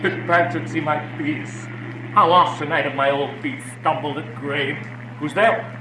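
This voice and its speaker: Man acting an anxious, high-voiced friar, echoing. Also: fairly even intonation, unhurried, natural voice. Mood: wary. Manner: theatrical, alarmed, exclamatory. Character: anxious male friar, fearful and sorrowful. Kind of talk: Shakespearean radio drama acting. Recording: echoey space with faint noise.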